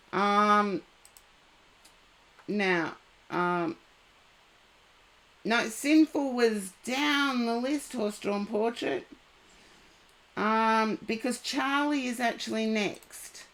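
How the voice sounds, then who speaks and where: A woman with a head cold, giving tarot readings and gentle advice to viewers on a live stream. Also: a little high, low-quality recording. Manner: slow, unhurried, gently advising viewers by name.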